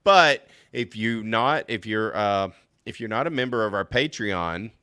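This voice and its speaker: A man's voice: lowish voice, lively intonation, measured pace, pushed and bright, clean tone, dry recording, studio quality. A man co-hosting a podcast from a hotel room over a video call, losing his voice and laughing often. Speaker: podcast co-host. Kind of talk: casual chat.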